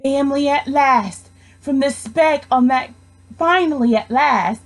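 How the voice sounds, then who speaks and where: A woman's voice: sits high, unhurried. A young woman reading a rhyming children's story aloud expressively. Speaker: storybook reader, education student.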